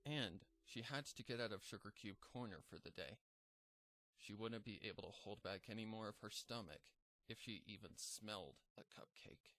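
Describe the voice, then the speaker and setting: A man narrating a dark fan fiction story in a measured, somber reading. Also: fairly low pitch, no echo. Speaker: fanfic narrator.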